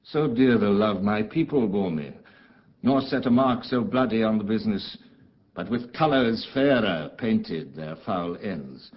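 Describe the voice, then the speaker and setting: A man's voice: on the low side, animated, a little slow, dull audio. An actor playing an embittered, commanding exiled duke and father in a theatrical, declamatory Shakespearean style. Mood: sorrowful. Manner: theatrical, grave, declamatory, with dramatic swells and hushes. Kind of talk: classical Shakespearean stage acting.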